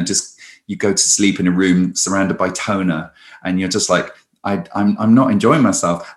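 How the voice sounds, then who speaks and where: A man, a stage performer, chatting in a conversational interview with lots of restarts and lively storytelling. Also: fairly low pitch, reverberant.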